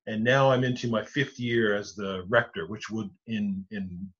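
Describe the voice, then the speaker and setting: Measured priest on a muffled video call. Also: male voice, on the low side, fairly bright tone, breathy edge. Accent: Newfoundland English. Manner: measured, explains step by step with many restarts. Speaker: Anglican priest, rector. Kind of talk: explanatory interview answers. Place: home study with bookshelves. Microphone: video call webcam.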